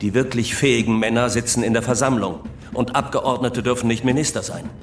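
Actor as a proud, ambitious French general. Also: male voice, mid-range voice, fairly quick, music in the background, natural voice. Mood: offended. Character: proud, ambitious general, deferential yet self-assured. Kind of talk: radio drama acting.

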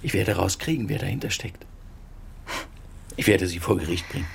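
An actor playing a man just back from captivity who speaks quietly and grimly, set on finding who is to blame. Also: sits low, moderate pace, vocal fry, slight background noise. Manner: quiet, grim, brooding, stubborn. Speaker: returned hostage. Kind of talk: radio drama acting.

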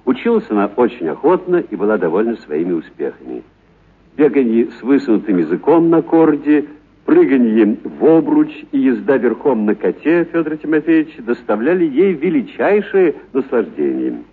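A man reads literary fiction as a dramatic radio storyteller. His voice is husky and swings widely in pitch. The recording is narrow and muffled, like an old broadcast. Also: big pitch swings, normal speed. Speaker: narrator, actor reading a story.

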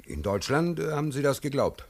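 An actor playing a cheeky, naive-seeming German vagabond prisoner in a radio play, speaking in a folksy, hesitant, deferential yet impudent way. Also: male voice, mid-range voice, sing-song, brisk. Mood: artful, unflappable.

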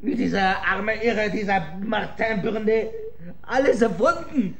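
An actor playing a cowering, self-pitying writer who stammers evasively and whines in a radio drama. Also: male voice, high voice, a little slow, a bit bright. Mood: self-pitying, defensive.

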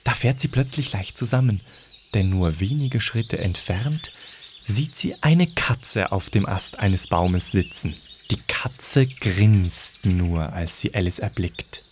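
A man's voice: lowish voice, lively intonation, slow, a little mellow, slight background noise. A man narrating a scripted fairy tale as the storyteller of an audio drama.

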